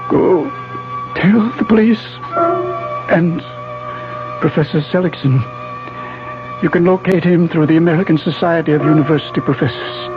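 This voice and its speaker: A man acting in a 1970s radio drama, playing a gravely wounded professor who tells his story in halting, dramatic phrases. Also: on the high side, natural voice. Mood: weary, halting. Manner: dramatic, halting first-person narration. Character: gravely wounded male professor recounting a violent attack. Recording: 1970s radio recording, muffled, music behind. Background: loud dramatic music.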